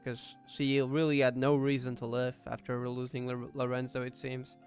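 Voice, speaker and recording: A man's voice: mid-range voice, monotone, normal speed. A man giving casual reaction commentary on a story game, with murmured asides and chuckles. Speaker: gamer reacting to a story playthrough. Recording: faint game music behind. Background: game dialogue and soft music.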